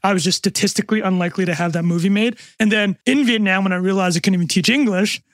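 A man in his thirties with a Canadian accent, a podcast guest telling his story with quick jokes and self-deprecating asides. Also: high-pitched, a bit bright, no room sound, clean studio sound. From North America. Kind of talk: casual podcast interview.

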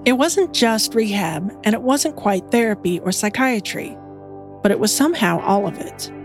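A woman giving measured, scripted documentary narration as the podcast's narrator. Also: medium pitch, animated, over music.

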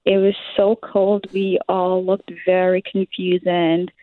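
A woman's voice: fairly low pitch, flat delivery, slow pace, no room sound. Young Liberian woman, hesitant interviewee, tinny lo-fi line. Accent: Liberian English. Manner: hesitant, many fillers, laughs often. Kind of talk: interview answers. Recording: dry, phone-like sound.

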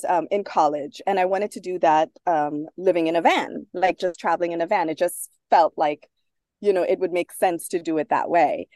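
A woman speaks with a lowish, mellow voice. She talks as an interview guest, thoughtful and unhurried, with fillers and small restarts. The sound is dry and close. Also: no room sound. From Trinidad. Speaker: guest author. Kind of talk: casual interview talk.

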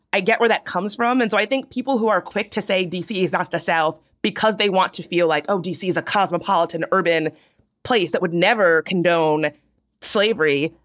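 A young woman from Virginia co-hosting a podcast, chatting casually and giving animated, opinionated takes. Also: on the low side. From Virginia, US South. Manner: animated, opinionated, drawn-out storytelling. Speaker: podcast co-host. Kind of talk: casual chat.

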